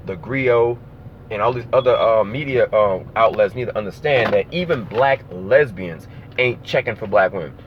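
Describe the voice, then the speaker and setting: A man's voice: mid-range voice, muffled recording, slight background noise. A man with an American accent talking casually as an opinion vlogger, blunt, slangy and profane.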